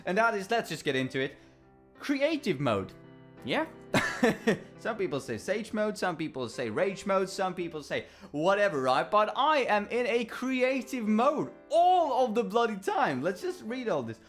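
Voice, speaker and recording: Rambling Swedish-accented man, fairly high voice. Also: sing-song, measured pace, soft background music. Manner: rambling, self-correcting, lots of fillers and restarts. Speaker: vlogger. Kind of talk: casual talking-head reflection.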